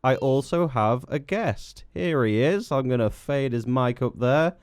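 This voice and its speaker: A man's voice: medium pitch, measured pace, darkish tone, clean tone. A young man hosting a live local radio show, chatty and self-deprecating, laughing easily with his guest. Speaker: radio presenter. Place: radio studio. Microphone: studio microphone.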